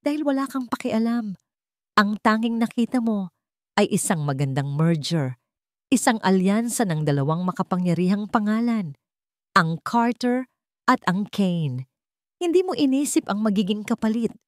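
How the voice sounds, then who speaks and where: A woman's voice: mid-range voice, very animated, moderate pace, dry recording, clean studio sound, pitched above the performer's own voice, close to an everyday voice. A woman voicing a wounded, furious young daughter in a dramatic audio story, bitter and accusing. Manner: bitter, accusing, trembling with anger and grief.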